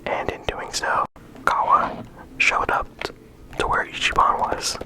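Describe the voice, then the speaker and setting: An ASMR creator giving a whispered, unhurried character discussion, often pausing and restarting mid-sentence. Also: pushed and bright. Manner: unvoiced whisper throughout.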